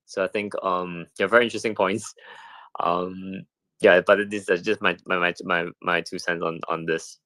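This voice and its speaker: A man's voice: low voice, fairly even intonation, moderate pace, sharp tone, no room sound. A man speaking unscripted as a panel guest, tentative and thinking aloud as he goes. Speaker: panel guest, head of product at a crypto company.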